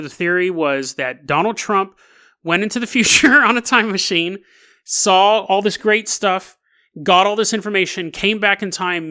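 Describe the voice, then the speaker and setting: Man, podcast host, high voice, casual solo storytelling, jokey, drawn-out emphasis. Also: bright, forceful tone, clean tone. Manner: jokey, self-amused, chuckles through his points. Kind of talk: casual solo storytelling about conspiracy theories.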